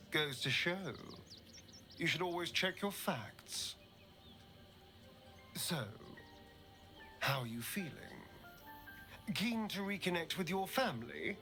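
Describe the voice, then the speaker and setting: A flamboyant, wry vampire from a video game. His delivery is theatrical and animated, with a crisp forward edge and faint music behind. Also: a little high, exaggerated voice. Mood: arch, teasing. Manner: arch, teasing, theatrical. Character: flamboyant, wry male vampire rogue, ageless and dandyish. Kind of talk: video game companion dialogue.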